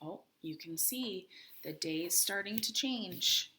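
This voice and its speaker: A woman's voice: on the low side, animated, slow, sharp tone, a little hiss or hum. A woman teacher doing a read-aloud for young children, talking to them directly with questions and prompts. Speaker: primary school teacher.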